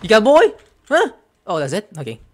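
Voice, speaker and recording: Excitable young man commentating on a game. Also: high-pitched, a little fast. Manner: crude, excitable. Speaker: gaming streamer. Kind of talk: casual gameplay commentary. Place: room at home. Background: game music.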